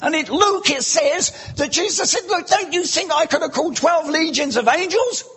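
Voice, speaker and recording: A man preaching a sermon in a church, animated, breaking into loud exclamations and asking the congregation rhetorical questions. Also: very high voice, moderate pace.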